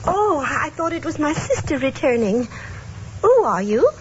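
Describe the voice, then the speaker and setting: High, fluttery, anxious old lady. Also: very animated, measured pace. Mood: wary, startled. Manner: hesitant, fluttery. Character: frail, confused, anxious old spinster lady. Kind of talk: old-time radio acting. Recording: muffled, noisy old radio recording.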